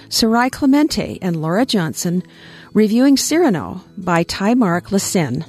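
A woman's voice: mid-range voice, darkish tone. A woman radio announcer reading a scripted outro. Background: faint music.

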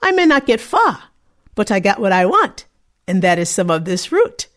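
A woman voicing a rude, greedy girl in a told folktale, speaking loud and mocking. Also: medium pitch, big pitch swings, moderate pace. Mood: brash.

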